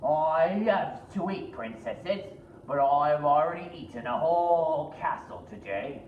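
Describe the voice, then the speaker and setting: A reader voicing a boastful male dragon with slow, drawn-out, pompous speech, reading a storybook aloud. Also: medium pitch, animated, a little hiss or hum, voice pushed up, natural voice. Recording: roomy, distant, dull-sounding.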